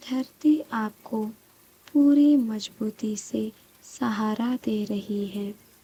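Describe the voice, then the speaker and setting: A woman guiding a scripted meditation in a soft, breathy voice, calm and soothing, with long pauses between short phrases. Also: a little high, highs cut off. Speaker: meditation guide. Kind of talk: slow guided meditation, scripted.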